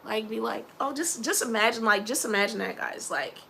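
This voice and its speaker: A woman's voice: on the high side, fairly quick, vocal fry, bright, forceful tone, slight background noise. A young woman from Philadelphia with a Philly accent, talking casually and rambling in a personal vlog, often laughing and mentioning her faith. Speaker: vlogger.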